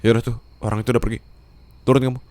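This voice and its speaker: A man's voice: medium pitch, level tone, very fast, a little mellow, no echo, close to an everyday voice. A man playing a curt, haughty, exasperated young boss in an acted audio roleplay, speaking brusquely and dismissively. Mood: annoyed, scornful. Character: curt, haughty young male boss, reluctant blind-dater. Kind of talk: Indonesian ASMR boyfriend roleplay, acted dialogue. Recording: clear vehicle ambience noise behind voice. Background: car ambience sound effects.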